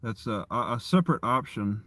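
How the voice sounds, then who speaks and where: Halting man rambling on a livestream. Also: medium pitch, expressive, fairly bright tone, no echo. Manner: halting, repeats and restarts phrases. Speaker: live streamer. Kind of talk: casual rambling livestream chat.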